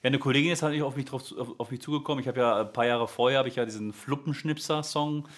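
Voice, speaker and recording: A man's voice: mid-range voice, fast talker. A man, a music teacher, chatting casually as an interview guest, rambling with many fillers and restarts.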